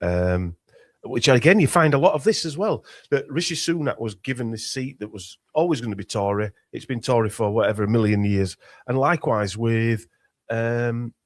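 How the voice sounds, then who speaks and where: A man's voice: mid-range voice, normal speed, no room sound, clean studio sound. A man with a Yorkshire accent talking at length as an author guest in an interview, with many fillers and restarts. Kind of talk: conversational interview.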